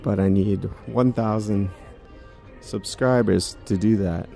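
Low gravelly man vlogging. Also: slow pace, mellow, soft background music. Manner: musing, chatty remarks to himself. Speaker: vlogger giving a store walking tour. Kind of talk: casual walking-tour commentary. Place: large indoor department store. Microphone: handheld phone camera while walking.

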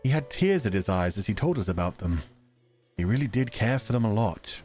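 A man's voice: lowish voice, expressive, brisk, a little mellow, faint music behind. A man livestreaming a game, playfully commenting and reading dialogue aloud with a theatrical, joking manner. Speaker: streamer.

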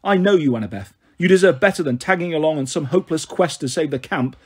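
A man voicing a smug, smooth-talking college-age villain in a storybook, with a taunting manner. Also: a little high, expressive, quick pace. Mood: persuasive, intense. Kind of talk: storybook character voice.

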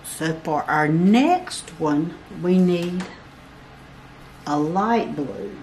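A woman talks slowly and patiently through a craft pattern, counting squares aloud one by one. Her voice sits on the lower side. A faint background hiss stays under her speech. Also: animated, a little mellow. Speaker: barn quilt pattern instructor. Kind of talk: step-by-step craft tutorial. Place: at a table over a paper pattern, indoors.

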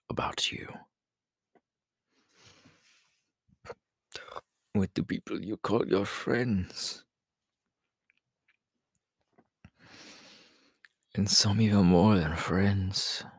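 Sly, menacing male villain acted by a man for ASMR roleplay: low-pitched, slow, teasing, breathy with whispers. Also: no echo, heavily acted. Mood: quiet threat. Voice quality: breathy, often dropping into whispers. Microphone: close microphone, dry.

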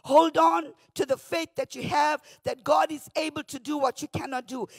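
Fervent high-voiced woman pastor preaching. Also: gravelly, no room sound. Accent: South African. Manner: fervent, often shouting, swings from loud to hushed. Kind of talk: preaching a morning devotion. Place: church stage behind a pulpit. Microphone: handheld microphone.

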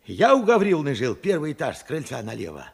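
An actor in a Soviet radio play playing an astonished old war veteran, speaking haltingly and urgently in an aged voice. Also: male voice, medium pitch, wildly swooping pitch, full character voice. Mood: agitated, moved. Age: older. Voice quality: husky, old-sounding.

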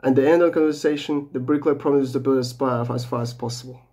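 A man's voice: medium pitch, steady tone, fast talker, dark tone, husky. A young man giving a scripted book summary as a student presenter. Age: young adult. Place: small bedroom.

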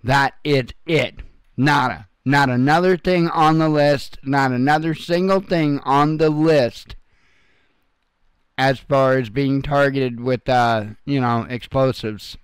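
A man's voice: medium pitch, takes it slowly, smooth, dry recording. A man hosting informal, unscripted news commentary in a blunt, folksy way with some salty language. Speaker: host of an online news commentary channel.

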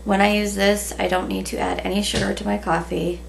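A woman in her thirties chatting casually in a relaxed way with many ums, recorded at home on a camera at a table. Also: mid-range voice, a little fast, gravelly. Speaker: vlogger. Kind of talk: casual haul video chat. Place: small room at home, indoors. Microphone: camera in front at table. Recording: noisy home webcam recording.

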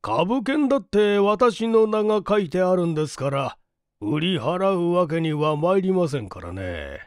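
A man reading a mystery story as a narrator, in a measured, polite and formal delivery. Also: high voice, big pitch swings, dry recording, studio quality. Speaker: audiobook narrator, stage actor. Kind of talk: dramatized literary reading, mystery novel.

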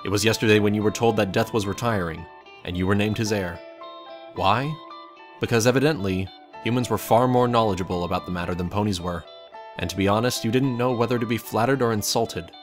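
A man's voice: on the low side, brisk, soft background music. A man reading a scripted second-person story aloud as its narrator.

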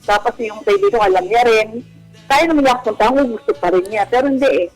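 A woman's voice: a little high, brisk, low-quality recording. Filipino woman caller on radio. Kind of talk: personal confession to radio hosts. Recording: radio call with music underneath. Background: background music.

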